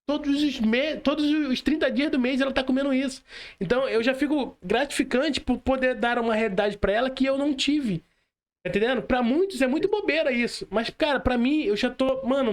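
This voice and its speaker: Young Brazilian male, notably high register, casual motivational podcaster, direct and emphatic. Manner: emphatic, slangy, addresses the listener directly. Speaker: podcast speaker.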